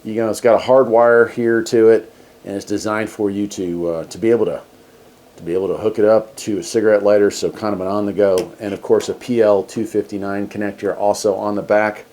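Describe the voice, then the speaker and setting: A man's voice: fairly low pitch, little pitch movement, fairly quick, a little mellow. A man hosting a casual hands-on product review, talking in a laid-back, folksy way. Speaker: host of a radio gear review channel.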